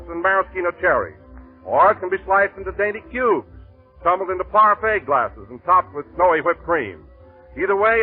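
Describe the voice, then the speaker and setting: A male announcer reads old-time radio ad copy with polished, flowery emphasis. His voice sits a little high and has a husky edge. The recording is thin and lo-fi, with faint music. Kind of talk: scripted 1930s radio advertisement.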